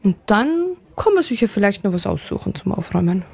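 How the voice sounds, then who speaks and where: Gravelly woman, playful step-by-step. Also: medium pitch, big pitch swings, brisk, a little mellow, dry recording, soft background music. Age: 30s. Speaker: teacher.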